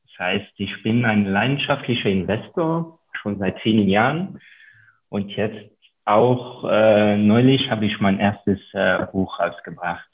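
Man in his 30s, French accent, lowish voice, slow and hesitant, phone-line sound. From Luxembourg. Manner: hesitant, many ums and uhs. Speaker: guest investor and author. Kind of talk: podcast interview.

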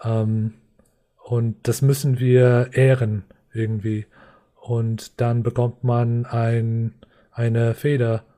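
A man from a reservation in the US Northern Plains, speaking German as a second language, explaining slowly with many pauses and fillers as a podcast guest. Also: medium pitch, monotone, warm tone. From Lakota reservation, US Northern Plains. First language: not German. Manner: hesitant, many fillers and restarts. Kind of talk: podcast interview, reflective explaining.

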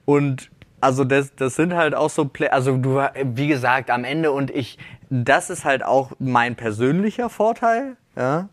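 A man's voice: medium pitch, a little slow, dry recording. A man in his thirties, a podcast host, talking in a rambling, reflective way and often correcting himself mid-sentence. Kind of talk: casual chat.

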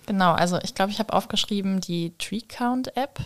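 A woman's voice: mid-range voice, moderate pace. A woman talking informally as a guest on a podcast. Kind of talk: casual chat.

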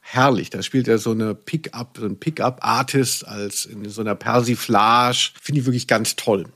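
A man's voice: mid-range voice, steady tone, moderate pace, fairly bright tone. A man co-hosting a podcast in casual conversation, with a playful, ironic, teasing manner. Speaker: podcast co-host. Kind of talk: casual chat about audio dramas.

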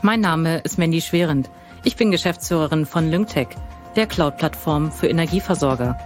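A man's voice: on the high side, normal speed. A man delivering a scripted promotional outro as a company director, formal and polished. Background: music.

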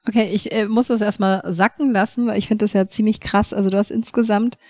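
A woman's voice: mid-range voice, fairly even intonation, quick pace, dark tone, no room sound. A young woman hosting a podcast in a studio, chatty and enthusiastic in a casual interview. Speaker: podcast host.

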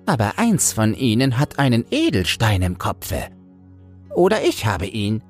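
A man's voice: on the low side, huge pitch swings, a little slow, faint music behind, voice pushed up. A man voicing an old mother toad, proud and secretive, with a weighty, admonishing way of talking in a storybook reading. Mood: smug, mysterious.

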